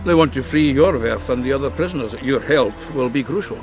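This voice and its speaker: An actor playing a gruff, blunt male dwarf in a fantasy game, speaking earnestly. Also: medium pitch, brisk, music in the background, natural voice. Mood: urgent, earnest. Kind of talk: fantasy video game acting.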